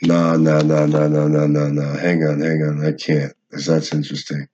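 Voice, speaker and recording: A man's voice: extremely low pitch, flat delivery, normal speed, dark tone, highs cut off. A man who reads aloud from a book and adds informal, rambling commentary. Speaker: channel host reading a book. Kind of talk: book reading with casual commentary.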